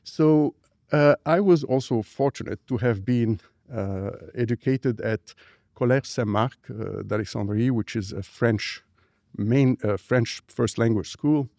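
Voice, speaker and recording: Egyptian-accented scientist in his fifties, low gravelly voice, halting delivery with fillers, dry studio sound. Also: warm tone, no echo. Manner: hesitant, many fillers and restarts. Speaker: scientist guest. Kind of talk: interview conversation. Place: dark studio. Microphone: boom microphone on arm.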